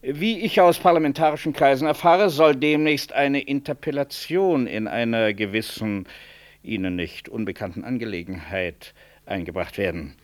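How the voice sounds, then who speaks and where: An actor playing a stiffly polite, scheming hospital colleague in a 1960s German radio drama, hesitant and roundabout in phrasing. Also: male voice, medium pitch, moderate pace, natural voice. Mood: formal, cautious.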